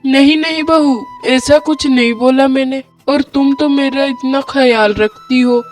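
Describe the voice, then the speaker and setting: A woman's voice: sits high, steady tone, unhurried. A woman voicing a kind, worried old mother in a cartoon story, with a pleading, motherly manner. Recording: music bed under the voice.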